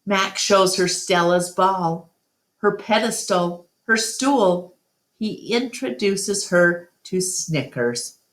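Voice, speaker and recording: A woman reading a children's novel aloud as storyteller, in deliberate, expressive scripted narration. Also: medium pitch.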